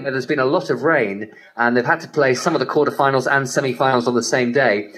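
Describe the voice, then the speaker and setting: A male sports correspondent with a medium-pitched voice. He gives measured, carefully reasoned reports and analysis on live radio, received over shortwave. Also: a bit bright, poor audio. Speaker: tennis correspondent. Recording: shortwave radio broadcast reception.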